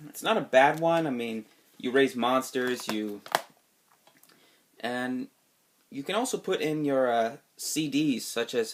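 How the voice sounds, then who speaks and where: A man's voice: mid-range voice, a little hiss or hum. A man recovering from a cold, sniffly, casually talking through his game collection with lots of fillers and restarts. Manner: rambling, many fillers and restarts. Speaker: video game collector vlogger. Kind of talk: casual video review.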